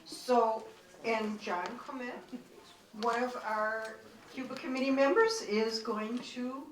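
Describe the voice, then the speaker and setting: A woman moderating a panel discussion, speaking in an unhurried way with many fillers. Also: fairly high pitch. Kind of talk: event moderating. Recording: roomy hall, distant mic.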